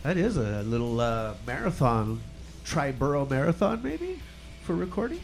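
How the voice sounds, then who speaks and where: A man's voice: medium pitch, very animated, moderate pace, gravelly, over music. A man with a Midwestern accent hosting a radio interview, chatty and swearing, laughing often. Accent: Midwestern American. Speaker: radio show host. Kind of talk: casual interview chat.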